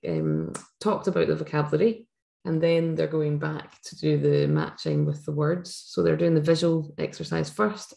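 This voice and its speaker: A woman's voice: low-pitched, gravelly, mellow. A middle-aged woman with a Scottish accent presents a teaching webinar over a video call, explaining activities in a friendly way with many fillers. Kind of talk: live webinar talk. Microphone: webcam video call.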